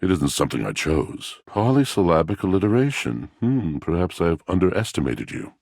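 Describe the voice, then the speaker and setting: A melodramatic, lovelorn male washing machine from a video game with an extremely low, gravel-edged voice. He is brooding and courtly, delivering florid poetic lines with big, theatrical swoops in pitch. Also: sing-song, brisk, a little mellow, dry recording, clean studio sound, exaggerated voice. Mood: melancholy, grandiose. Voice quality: gravelly, husky. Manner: florid, grandiose, poetic diction.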